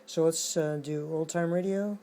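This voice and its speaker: A man giving a casual hands-on technical demonstration as an electronics hobbyist, speaking in a halting, matter-of-fact way. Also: on the high side, fairly even intonation, mellow.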